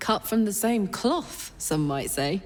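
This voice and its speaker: Young adventuress, video game heroine, eager, playful, swooping intonation, unhurried, faint music behind. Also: female voice, mid-range voice, lively intonation, a little mellow. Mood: fond, nostalgic. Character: excitable, playful young woman adventurer on a first date. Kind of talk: dramatic video game acting.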